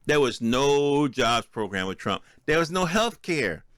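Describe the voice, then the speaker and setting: A man's voice: medium pitch, sing-song, measured pace, fairly bright tone, clean tone, dry recording. An American man with a mid-range voice talks in a casual, opinionated style, as a solo podcast host does. He addresses listeners directly and conversationally. The sound is dry, with very little room in it. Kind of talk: casual solo commentary, reading and reacting to news articles.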